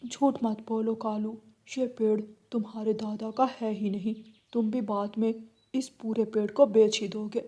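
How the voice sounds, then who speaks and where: A woman's voice: fairly high pitch, flat delivery, a little slow, mellow, slightly rough. A woman voicing an earnest, hardworking father sparrow in a cartoon story, with a plaintive manner. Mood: indignant, pleading.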